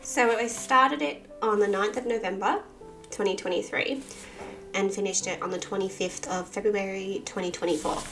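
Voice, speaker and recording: A woman, husky from a cold with a bit of vocal fry, chatting casually and self-deprecatingly through a sketchbook tour. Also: mid-range voice, steady tone, normal speed, a bit bright, faint music behind, low-quality recording. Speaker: artist and channel host.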